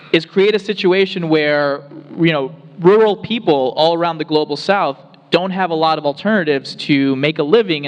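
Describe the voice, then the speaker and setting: A man from the Rio Grande Valley in Texas, a researcher speaking on a town hall panel in an earnest, explanatory way with many restarts. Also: on the high side. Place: town hall meeting room. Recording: reverberant hall.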